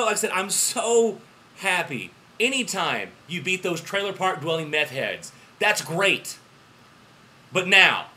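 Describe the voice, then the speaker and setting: Hoarse, sharp-voiced American male sports fan. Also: high voice. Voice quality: hoarse from yelling. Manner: emphatic, repeats himself, swings between excited bursts and quieter asides. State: hoarse voice after yelling through a game. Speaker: football fan vlogger and alum of the team he supports. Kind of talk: impassioned sports fan commentary to camera.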